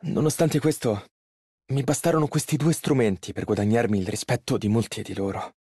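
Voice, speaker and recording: A man's voice: medium pitch, brisk, warm tone, husky, dry recording, studio quality, close to an everyday voice. An actor playing a polite, earnest and easily flustered young male tailor in an Italian game dub. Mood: calm, reflective. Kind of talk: video game character voice lines, Italian dub.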